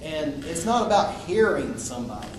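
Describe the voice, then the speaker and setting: Loud outspoken man, echoey room. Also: on the high side, sing-song, normal speed, distant mic. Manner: loud, outspoken, with frequent restarts. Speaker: invited speaker at a public meeting. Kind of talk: impromptu public address. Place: public meeting room. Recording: echoey room recorded from afar, noisy.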